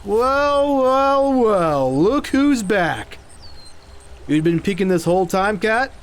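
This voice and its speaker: A man's voice: high voice, sing-song, slow, exaggerated voice. A man playing a confident, stern fighter who guards a sacred treasure in an audio drama, firm and teasing. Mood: amused, teasing. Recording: faint background noise.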